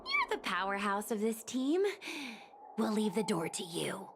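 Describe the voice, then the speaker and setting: A woman voicing a calm young adventurer in a game cutscene, encouraging and steady as she leads the team, with music behind. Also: medium pitch, unhurried, a little hiss or hum.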